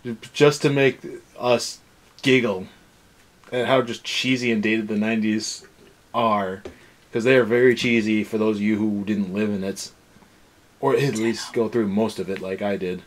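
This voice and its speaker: A man's voice: fairly low pitch, steady tone, moderate pace, poor audio. A young man co-hosting a casual review show, ranting in a sarcastic, exasperated way. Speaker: anime review show co-host.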